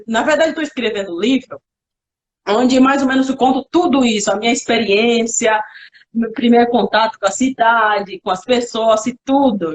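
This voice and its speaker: A young woman from the Xingu, a native Kalapalo speaker, talking in Portuguese as a guest on a live video call, thoughtful and halting with restarts. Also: on the high side, low-quality recording. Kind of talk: casual live interview.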